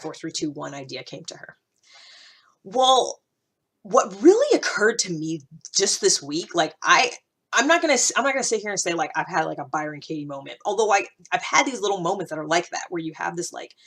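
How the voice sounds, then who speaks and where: A woman with a low-pitched voice speaks in a casual, reflective monologue. She hosts in a conversational way, leaning on key phrases and repeating them for emphasis. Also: fairly bright tone, breathy edge. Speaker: host of a personal development podcast.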